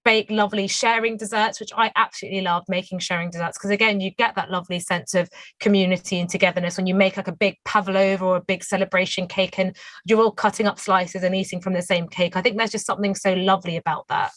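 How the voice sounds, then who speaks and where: Hoarse, enthusiastic young woman on the line. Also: mid-range voice, little pitch movement, quick pace, fairly bright tone, no echo, poor audio. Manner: enthusiastic, lots of "you know" fillers. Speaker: guest baker. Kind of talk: phone interview. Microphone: phone line.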